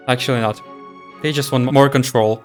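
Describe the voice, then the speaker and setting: A streamer reacting to a game story, stammering and excitedly theorizing. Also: medium pitch, moderate pace, faint music behind. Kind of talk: casual reaction commentary.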